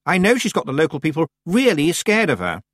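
A man's voice: on the high side, wildly swooping pitch, a little fast, a bit bright, no echo, clean studio sound, voice pushed up, full character voice. A man voicing a vain, pushy teenage girl witch in a storybook, with a haughty, emphatic manner. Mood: smug, haughty.

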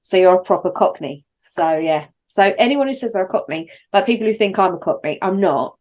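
A woman's voice: lowish voice, expressive, fairly quick, a little mellow. A woman with a London accent, co-hosting a podcast in casual, rambling chat and laughing often. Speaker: podcast co-host.

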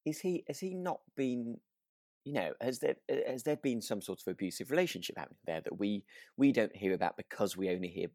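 British man, low pitch, podcast co-host, casual chat, hesitant circling phrasing, very dry close sound. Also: expressive, a little fast, a little mellow, no room sound. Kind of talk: casual discussion of a radio drama.

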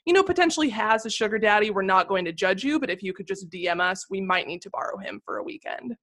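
Energetic young woman co-host, loud and lively, casual podcast banter. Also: medium pitch, fast talker. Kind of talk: casual chat.

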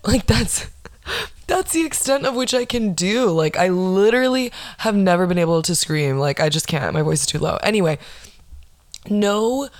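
A young woman hosting a podcast, ranting casually with lots of swearing and sarcastic mock quotes, with a low, non-nasal voice. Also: animated, normal speed, no room sound. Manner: sarcastic, swears a lot, acts out mocking quotes. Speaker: podcast host.

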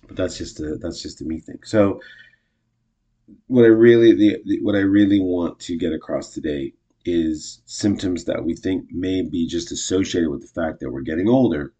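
A man's voice: sits low, normal speed, warm tone, breathy edge. A man in his fifties talking casually to camera, rambling and correcting himself often in an upbeat way. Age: 50s. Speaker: vlogger.

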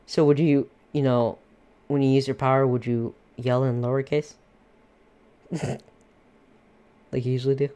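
A man's voice: medium pitch, flat delivery, unhurried, long pauses, dark tone, smooth. A young man co-hosting a casual podcast, teasing his friends, laughing often and cussing freely. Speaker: podcast co-host. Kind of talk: casual chat among friends.

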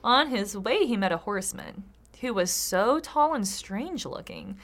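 A woman's voice: mid-range voice, animated, measured pace, a bit bright. A tipsy woman co-hosting a casual comedy podcast, reading folktales aloud with sweary, sarcastic asides.

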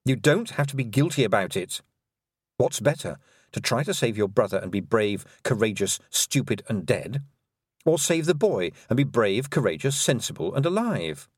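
A man's voice: mid-range voice, sing-song, normal speed, breathy edge, no room sound, studio quality. A man reading an audiobook, voicing the insistent, logical inner thoughts of a young girl, urging and reasoning. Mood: urgent. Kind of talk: audiobook character voice.

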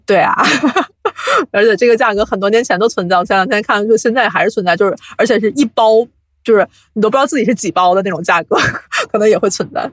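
A woman's voice: medium pitch, quick pace, no room sound. A woman guest on a podcast, explaining things casually in a giggly, somewhat hesitant way. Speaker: podcast guest, audio drama producer. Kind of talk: casual chat.

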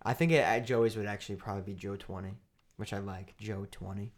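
A man hosting a podcast in casual chat, playfully argumentative and animated, talking into a studio mic. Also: on the low side, fairly quick. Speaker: podcast host.

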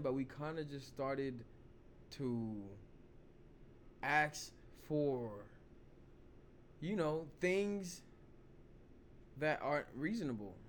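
A man hosting his own vlog, hoarse from losing his voice, rambling loosely in casual talk full of "you know" and "like". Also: a little high, a little hiss or hum. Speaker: vlog host. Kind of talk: casual opinion talk to camera.

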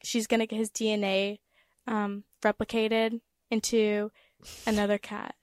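A teenage high school girl, a guest in a casual interview, chatting with frequent fillers. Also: little pitch movement, a little slow, smooth, dry recording, clean studio sound. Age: teens.

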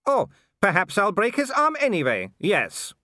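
A man's voice: wildly swooping pitch, moderate pace, sharp tone, no room sound, clean studio sound, full character voice. A man doing a taunting, gleeful werewolf brute. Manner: taunting, high and strained. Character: gleeful brutish male werewolf fighter.

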